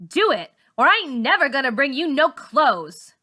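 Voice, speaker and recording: A woman storyteller puts on a mean schoolgirl bully, high-pitched and hard-edged. She is bossy and taunting, throwing out threats with loud force, as in a dramatic storybook reading. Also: big pitch swings, moderate pace, full character voice. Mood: angry, threatening. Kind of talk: dramatic audiobook character voice.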